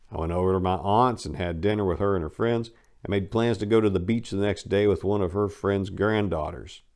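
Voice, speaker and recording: Low-pitched man narrating a story. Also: darkish tone, clean tone. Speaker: narrator reading a book. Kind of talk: dramatic first-person audiobook narration.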